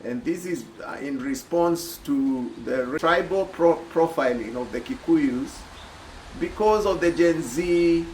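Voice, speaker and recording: A middle-aged Kenyan man with a Kenyan accent giving thoughtful personal commentary, pausing often with "uh". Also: a little high, a little mellow, slight background noise, low-quality recording. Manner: thoughtful, reflective, many pauses with "uh".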